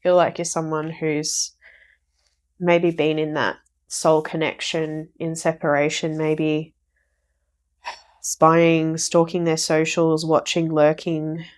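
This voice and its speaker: Woman tarot reader, croaky voice, lowish pitch, rambling with fillers. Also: completely flat delivery, slow pace. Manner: rambling, repeats herself with fillers. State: croaky from recovering flu. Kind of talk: casual tarot reading to viewers.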